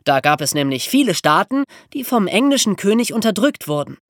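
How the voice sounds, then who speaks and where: A woman explaining things as a podcast host in a lively educational storytelling style. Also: mid-range voice, brisk, no room sound, clean studio sound.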